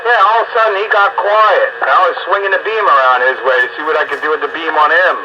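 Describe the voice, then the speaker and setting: A man's voice: a little high, steady tone, fast. A man chatting casually as an operator over two-way CB radio. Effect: two-way radio transmission. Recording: dull, noisy CB radio audio.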